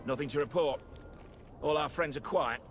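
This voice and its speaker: An actor playing a smug, corrupt guard lieutenant in a video game, cocky and quietly threatening. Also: male voice, a little high, normal speed, lightly acted. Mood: smug menace. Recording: noisy ambient sound behind the voice.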